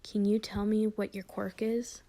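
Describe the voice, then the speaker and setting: A woman voicing a protective adult male hero teacher, questioning and reassuring in a measured way for an audio story. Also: medium pitch, level tone, warm tone, lightly acted. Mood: concerned, soothing.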